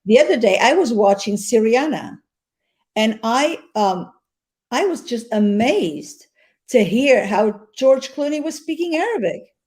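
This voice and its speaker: A woman's voice: a little high, a little mellow. A woman from North Africa, an actress, speaking earnestly in a casual interview and often repeating words as she finds them.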